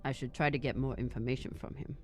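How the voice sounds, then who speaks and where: A woman's voice: extremely low pitch, fairly even intonation, quick pace, darkish tone, faint music behind. An actress playing a guarded, blunt woman captain in a dramatic sci-fi video game. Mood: suspicious. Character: guarded, stern, blunt female space captain.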